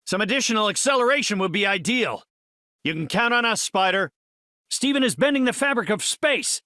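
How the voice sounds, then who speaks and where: A man's voice: high-pitched, animated, fairly quick, pushed and bright, no echo. A brainy middle-aged male superhero scientist from a video game, earnest and confident. His speech is formal and articulate, with widely swinging intonation, captured very dry and close. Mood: thoughtful, composed. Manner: articulate, formal, erudite wording. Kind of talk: video game character voice lines.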